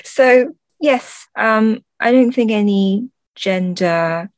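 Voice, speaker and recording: A woman's voice: fairly high pitch, takes it slowly, no room sound. A woman answering as a podcast guest in a thoughtful conversational way, often searching for words and correcting herself. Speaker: podcast guest, translator and book editor.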